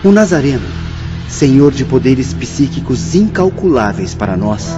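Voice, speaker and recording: An actor playing a learned, solemn spirit teacher in a radio drama, speaking in a measured, declamatory way. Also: male voice, medium pitch, sing-song. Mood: solemn, instructive. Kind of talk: radio drama acting, oratorical. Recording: slightly muffled, music underneath.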